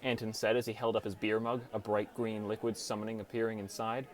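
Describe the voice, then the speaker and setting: A man's voice: on the low side, level tone, fast. A man reading scripted first-person story narration as an audiobook narrator.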